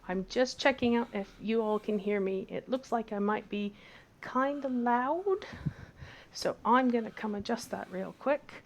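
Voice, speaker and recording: A woman's voice: fairly high pitch, unhurried, a little hiss or hum. A woman co-hosting a livestream in casual, informal chat. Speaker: livestream co-host.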